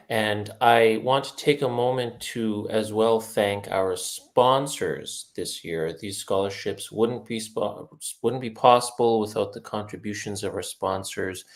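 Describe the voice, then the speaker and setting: A man hosting a video interview series, introducing guests in a measured way and correcting himself now and then. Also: on the low side. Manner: measured, reads sponsor lists, self-corrects. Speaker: host, editor.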